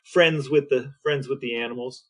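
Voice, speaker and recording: A man chatting casually as a podcast co-host, explaining things in a halting, conversational way. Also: mid-range voice, fairly bright tone.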